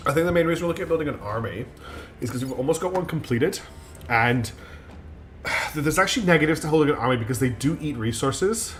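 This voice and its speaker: A man's voice: fairly high pitch, animated, fast, fairly bright tone, soft background music. A man streaming a strategy game live. He thinks aloud in a chatty way, with many ums and restarts, and his nose is slightly blocked.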